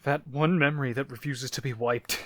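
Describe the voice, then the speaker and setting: A male narrator plays a haunted man telling a confessional horror story about his childhood. He speaks in a halting, reflective way at a middle pitch. The voice is close and dry, with music underneath. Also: steady tone, no room sound, close to an everyday voice. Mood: somber, haunted. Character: haunted adult man recalling disturbing childhood memories. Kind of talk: first-person horror story narration, audio drama.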